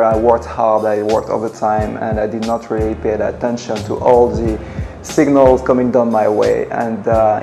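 A man's voice: mid-range voice, vocal fry, a little mellow. A man in his late twenties with a French accent, from Ivory Coast, telling his personal story to camera from his living room in an earnest, reflective way with many fillers. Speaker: travel blogger. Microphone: camera at desk distance.

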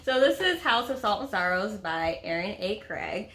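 A woman in her thirties talking casually as a book vlogger, expressive and emphatic, often repeating words for effect, recorded at home on a camera mic. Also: medium pitch, moderate pace. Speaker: book reviewer and co-host of a book vlog. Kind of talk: casual chat about books. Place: small indoor room at home with a bookcase.

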